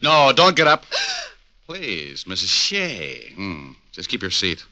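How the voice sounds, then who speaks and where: A hard-boiled private eye trades sardonic wisecracks in a lowish voice. His delivery is very forceful and sharp-edged, in the style of 1940s radio drama. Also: huge pitch swings, slow, full character voice. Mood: tough.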